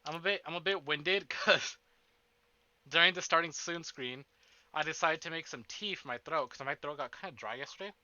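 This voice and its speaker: A man with a very bright, forceful voice, pitched a little high. He hosts a livestream in a chatty, animated way, often interrupting himself and swearing playfully. The audio is slightly dull and lo-fi. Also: normal speed, no room sound. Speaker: streamer, host of a visual novel stream. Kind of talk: casual livestream chat to viewers. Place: indoors at a desk.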